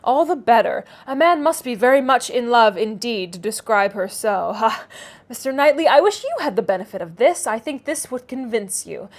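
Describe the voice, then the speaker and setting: A woman acts out a clever young lady of a classic novel, with a notably high voice. She is smug and self-assured, speaking precisely, with a knowing, condescending manner. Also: animated, normal speed. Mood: pleased, amused. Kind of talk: classic novel read aloud.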